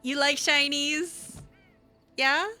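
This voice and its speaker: A woman playing a friendly, flattering elf mage in improvised game roleplay, with an informal, hesitant, coaxing manner. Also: high-pitched, extremely slow, faint music behind, heavily acted. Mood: eager, awkward.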